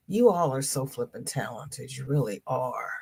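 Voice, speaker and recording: A woman's voice: a bass voice, expressive, moderate pace, vocal fry, mellow, husky. A congested woman hosting a casual live crafting chat, greeting viewers by name and reading out their comments. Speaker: livestream host.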